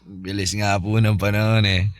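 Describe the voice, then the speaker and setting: A man's voice: on the low side, level tone, moderate pace, no room sound, lightly acted. Polite young Filipino nephew, acted by a man. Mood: thoughtful. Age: young adult. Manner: polite, gently questioning. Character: respectful young nephew, concerned drinking companion. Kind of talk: Tagalog radio drama acting.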